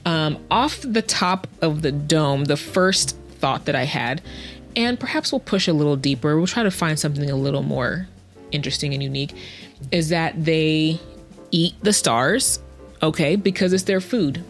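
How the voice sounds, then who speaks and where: A woman with a low voice hosts a chatty podcast over faint music. She talks casually and playfully, with plenty of slang. She often cuts into her own sentences and repeats words to make a point. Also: animated, normal speed. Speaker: podcast host, writing coach. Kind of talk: casual, chatty solo podcast answering listener-style questions.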